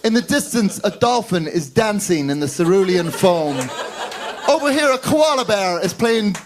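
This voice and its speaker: A man from Northern Ireland with a Northern Irish accent, doing a wry, deadpan stand-up set on a club stage. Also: high voice. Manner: wry, deadpan storytelling with quick character voices. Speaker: stand-up comedian.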